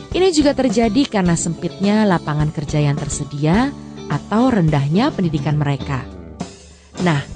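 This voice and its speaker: A woman narrating a scripted radio drama, introducing it to listeners. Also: low voice. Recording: music behind.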